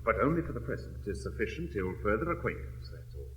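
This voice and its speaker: An actor playing a bashful, blustering country squire in a period comedy, rambling and repeating himself in an English accent. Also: male voice, on the low side, sing-song, fast talker, a bit bright, slight background noise, low-quality recording. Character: bashful, blustering, good-natured country squire, clumsy suitor. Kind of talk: classic stage comedy acting.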